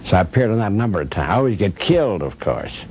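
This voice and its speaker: A man's voice: fairly low pitch, very animated, fast talker, a little mellow. An older man reminisces in an interview, plain-spoken and anecdotal, in short punchy sentences. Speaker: former radio soap opera actor, interviewee. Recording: noticeable background noise.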